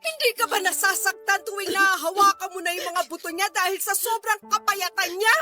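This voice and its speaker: A woman's voice: very high voice, a little fast. An actress playing an anguished, scolding grandmother who pleads tearfully and emphatically in a Tagalog radio drama. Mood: angry, reproachful. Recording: dramatic music under the voice.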